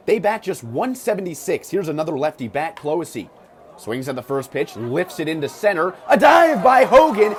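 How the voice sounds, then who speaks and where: Male baseball radio announcer, higher-pitched, clipped descriptive play-by-play, clear ballpark noise behind him. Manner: clipped, descriptive calls that swell on big plays. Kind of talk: live sports commentary. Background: ballpark ambience.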